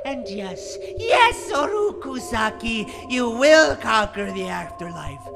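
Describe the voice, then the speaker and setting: A performer voicing a taunting, gloating demon who foretells doom in a comic dub, mocking, drawn-out and ominous. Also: medium pitch, sing-song, gravelly, exaggerated voice. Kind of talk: theatrical comic-dub villain acting. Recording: music behind the voice.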